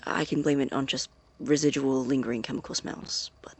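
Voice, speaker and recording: An actor playing a secretive, methodical male science student in an audio drama, dictating a tape diary with hesitant, precise wording in a gravelly, husky voice. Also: medium pitch, fairly even intonation, fairly quick. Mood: clinical, uneasy.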